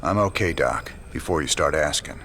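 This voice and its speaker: A low-voiced, world-weary male rebel commander talks in gruff, laconic, folksy lines, as in a sci-fi game. He sounds embittered, over a clearly noisy background. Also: normal speed, fairly bright tone. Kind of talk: video game cutscene dialogue.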